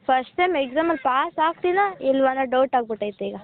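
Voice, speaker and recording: A woman's voice: high voice, expressive, fast talker. A young woman with a Karnataka accent chatting casually and colloquially on a private phone call with her boyfriend. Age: young adult. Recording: tinny phone line with background noise.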